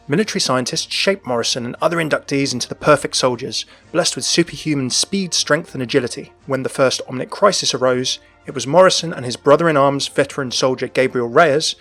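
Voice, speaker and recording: A man narrating a scripted video about the lore and backstory of a game character. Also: medium pitch.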